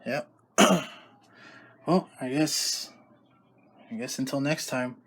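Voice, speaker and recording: A man hosting a home vlog about weather radio tests, talking casually and loosely with halting restarts. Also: medium pitch, fairly bright tone, slightly rough. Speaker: YouTube host recording radio tests.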